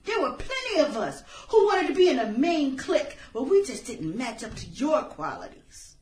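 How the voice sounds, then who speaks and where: A woman acting a bitter, vengeful former outcast turned killer in a radio drama, sarcastic and theatrical with drawn-out phrasing. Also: sits high, very animated, a little mellow, slight background noise. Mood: scornful.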